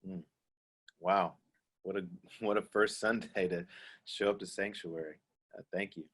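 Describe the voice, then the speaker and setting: Earnest pastor, low voice, halting. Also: male voice, steady tone, fairly bright tone. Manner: hesitant, many fillers, earnest. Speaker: pastor and moderator. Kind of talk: church panel discussion on a video call. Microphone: video call.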